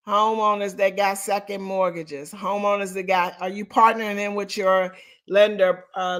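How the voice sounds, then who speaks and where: A woman, coaching real estate agents in an animated, emphatic way. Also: medium pitch. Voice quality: raspy. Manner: animated, emphatic, talks straight to the audience. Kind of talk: live online coaching session.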